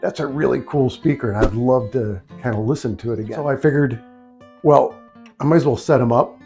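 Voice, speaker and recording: An American man talks informally at a middle pitch, as if chatting with a friend. He rambles through his points unscripted, drifting into asides like a hobbyist hosting his own vlog. Faint music plays behind his voice. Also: a little fast. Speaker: video host, DIY speaker builder. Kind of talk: casual, unscripted vlog talk. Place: small home office used as a studio.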